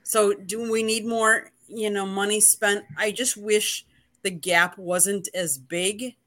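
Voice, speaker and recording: A woman's voice: mid-range voice, little pitch movement, slow pace, fairly bright tone. Woman asking and answering her own questions. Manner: poses rhetorical questions and answers them. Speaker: panelist. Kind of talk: casual sports talk.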